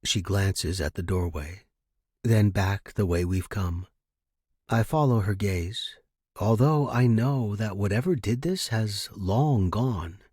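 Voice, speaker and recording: Slow, husky male story narrator. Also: lowish voice, darkish tone, dry recording, studio quality. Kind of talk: scripted first-person fiction narration.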